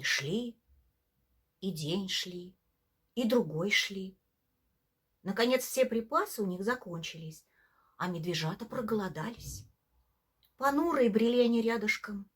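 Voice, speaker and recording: A woman narrating a bedtime folk tale for children, theatrically, with hushed drops at the ends of phrases. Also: mid-range voice, slightly rough. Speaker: storyteller, theatre actress. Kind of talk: expressive scripted bedtime story narration for children.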